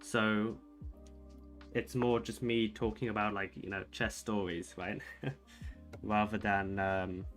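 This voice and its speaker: A young man streaming chess and chatting with viewers, soft-spoken and rambling with lots of fillers and restarts. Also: fairly low pitch, level tone, slow, long pauses. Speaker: streamer reading chat. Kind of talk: casual livestream chat while playing chess. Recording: faint music behind the voice.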